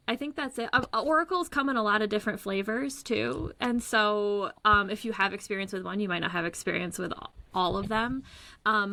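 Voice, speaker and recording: A woman's voice: mid-range voice, vocal fry, pushed and bright, no room sound. A woman guest explaining her energy healing work over a call, earnest and searching for words. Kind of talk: casual explanatory talk. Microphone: remote call.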